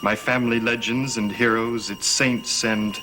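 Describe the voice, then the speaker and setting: A man's voice: mid-range voice, fairly even intonation, measured pace, lightly acted. An actor playing a sly, composed stepson who taunts his stepfather in an arch, formal way in a vintage gothic TV soap. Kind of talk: 1960s-70s gothic TV soap acting. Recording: old TV soundtrack under loud music.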